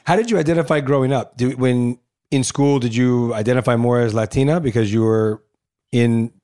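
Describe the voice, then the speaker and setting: Quick-talking man interviewer. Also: mid-range voice, fairly even intonation, warm tone. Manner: quick, laughing, repeating himself. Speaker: co-host interviewer. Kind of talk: casual interview.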